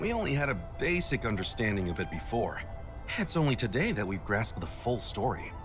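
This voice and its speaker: An actor playing an earnest, easygoing young nursery manager in a video game, with a chatty, expressive delivery. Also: male voice, on the low side, fairly quick. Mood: puzzled, sober. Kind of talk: scripted video game voice acting. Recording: loud music under the voice.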